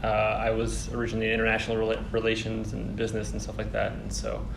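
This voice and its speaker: A man originally from Poland, a conducting student, talking in a chatty, animated way with fillers. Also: on the low side, fast talker, gravelly. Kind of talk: casual interview answers. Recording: roomy with background noise.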